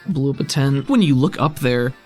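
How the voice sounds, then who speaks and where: A man's voice: mid-range voice, normal speed, faint music behind. A man narrating a tabletop roleplaying game as game master, casual, playful and informal.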